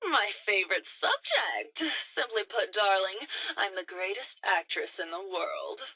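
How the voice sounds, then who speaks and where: A woman playing a vain, flirtatious faded movie actress in a video game, with grandiose, coquettish, drawn-out phrasing. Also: mid-range voice, expressive, bright, forceful tone, no echo, low-quality recording. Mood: grandiose, boastful. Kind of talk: theatrical game dialogue.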